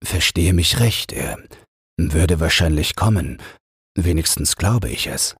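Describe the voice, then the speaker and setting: A man's voice: sits low, expressive, takes it slowly, a bit bright, slightly rough, dry recording, clean studio sound, heavily acted. A man voicing an earnest, stubborn young businessman defending himself in an audiobook. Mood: hesitant, explaining.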